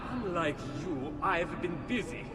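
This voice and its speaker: A man's voice: fairly high pitch, animated, measured pace. An actor playing a haughty, dismissive male villain with an Italian accent in a video game cutscene. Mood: irritated arrogance. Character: haughty, defiant male Italian villain, self-righteous and irritable. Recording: loud music behind voice.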